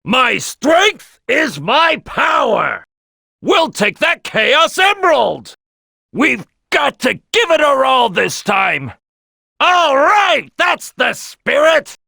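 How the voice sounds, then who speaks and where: Big cocky croc game character, bright punchy voice, swoops all over, loud and boastful. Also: male voice, extremely high pitch, very animated, creaky, no room sound, clean studio sound, exaggerated voice. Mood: excited, rallying. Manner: loud, swaggering, boastful, drawn-out delivery. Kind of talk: exaggerated cartoon game voice lines.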